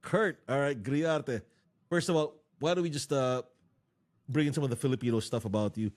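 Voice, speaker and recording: A man's voice: medium pitch, normal speed. A man hosting a podcast in casual interview chat. He jokes, laughs often, and talks with many fillers and restarts. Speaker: podcast host.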